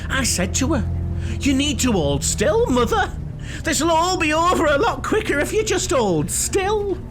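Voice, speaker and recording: A man's voice: extremely high pitch, sing-song, a little slow, over music, full character voice. A man playing a chatty, cheerfully sinister holidaymaker with a Northern English accent, gushing and guileless, in an audio drama. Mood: matter-of-fact, sinister.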